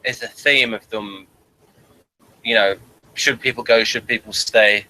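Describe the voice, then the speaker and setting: A man with a mid-pitched voice talks through a show in a loose, conversational review. He rambles and halts, often restarting with the same phrase as he guides the discussion. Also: fairly even intonation, bright, forceful tone, rough recording. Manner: halting, rambling, repeats phrases. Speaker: host of a TV episode review.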